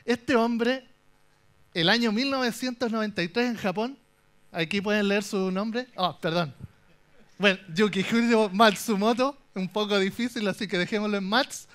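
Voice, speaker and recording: A young Chilean man with a fairly high voice gives a conference talk from the stage. He jokes his way through the story, lively and playful, with a Chilean accent throughout. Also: normal speed, clear, resonant tone. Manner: animated, joking storyteller. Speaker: conference speaker. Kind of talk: humorous tech talk.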